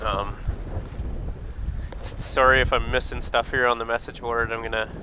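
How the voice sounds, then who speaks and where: A man's voice: medium pitch, moderate pace. A man, from southern Alberta, chatting casually while steering a boat for a live stream. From southern Alberta, Canada. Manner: relaxed, easygoing, filler-heavy. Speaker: boat driver and live-cam host. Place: outdoors on a small boat on a river. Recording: wind and boat engine noise.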